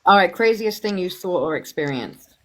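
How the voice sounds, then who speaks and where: A woman interviewer putting casual quick-fire questions in an informal, brisk, directing way. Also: on the low side, lively intonation, slight background noise, poor audio.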